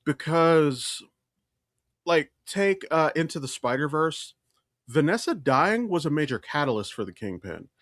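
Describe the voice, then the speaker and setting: A man's voice: fairly high pitch, very animated, a little slow, a bit bright, dry recording, clean studio sound. A man co-hosting a podcast in casual pop-culture chat, emphatic and opinionated, swearing freely. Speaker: podcast co-host.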